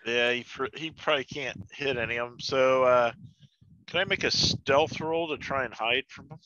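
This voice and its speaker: A dull, lo-fi recording of a man with a bright, medium-pitched voice. He is a player at a tabletop game, talking casually about his moves. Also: moderate pace.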